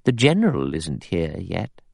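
A man's voice: low-pitched, huge pitch swings, measured pace, gravelly, a little mellow, dry recording, studio quality, heavily acted. A man voicing a prim, severe older woman in a storybook reading, precise and composed.

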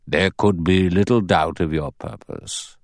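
A man reads the part of a cool, commanding old judge in a storybook reading, weighing each word in a slow, very deep voice. The voice is close and dry, with no room around it. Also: dry recording. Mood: cool, deliberate. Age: older. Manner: measured, precise, quietly authoritative.

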